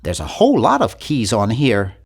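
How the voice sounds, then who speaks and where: A man's voice: lowish voice, wildly swooping pitch, normal speed, vocal fry, breathy edge, full character voice. A man voicing an earnest, timid young male nerd in an adventure video game, with a precise, fussy delivery. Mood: fussy, deadpan. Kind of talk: animated adventure game voice acting.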